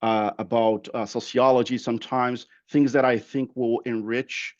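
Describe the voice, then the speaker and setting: A man's voice: medium pitch, steady tone, moderate pace, a little mellow, no echo, muffled recording. A man in his thirties with a Brazilian accent and Portuguese as his first language, introducing himself as a guest expert, often repeating words as he goes. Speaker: guest expert, process improvement professional. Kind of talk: informal self-introduction at a talk.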